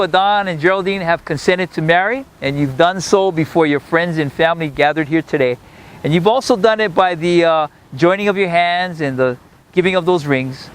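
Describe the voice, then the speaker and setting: A man officiating an outdoor wedding, speaking in a measured, solemn way; his first language is Hawaiian. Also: on the high side. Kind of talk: wedding ceremony address and prayer.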